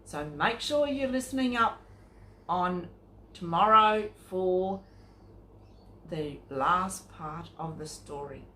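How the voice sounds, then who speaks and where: Unhurried woman storyteller in an echoey room. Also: fairly low pitch. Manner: expressive, addressing children. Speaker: children's storyteller. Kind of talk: narrated children's Bible story reading. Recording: echoey room with faint noise.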